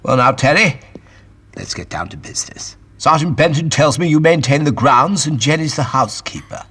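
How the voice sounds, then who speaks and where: A man acting an irascible, courtly, sceptical gentleman scientist in an audio drama, speaking formally and imperiously. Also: a little high, lively intonation, normal speed, husky. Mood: courteous, businesslike. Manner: imperious, formal, old-fashioned courteous speech.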